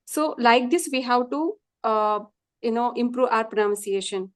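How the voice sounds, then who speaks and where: A woman with a South Indian accent speaks as an interview guest, her voice a little high. She stresses her points earnestly and repeats them for emphasis. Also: moderate pace. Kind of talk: casual interview chat.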